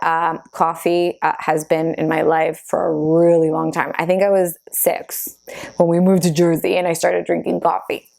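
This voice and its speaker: A woman's voice: lowish voice, vocal fry, a little mellow. Chatty Spanish-native woman in her thirties. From Argentina. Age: 30s. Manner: chatty, self-interviewing, many fillers. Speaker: YouTuber. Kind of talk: casual get-to-know-me Q&A.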